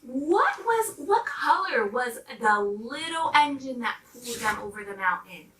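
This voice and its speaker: A woman reads aloud and talks to young children with lots of expression. Her voice is high and swings widely in pitch. The recording is roomy and slightly distant. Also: measured pace. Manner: animated, addressing young children. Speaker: storybook reader.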